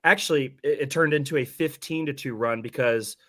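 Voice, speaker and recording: Man, hoarse, mid-pitched voice, sports podcast host, casual, candid, mildly profane. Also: a little fast, bright, forceful tone. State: hoarse from yelling at the game. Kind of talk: casual sports talk and game breakdown.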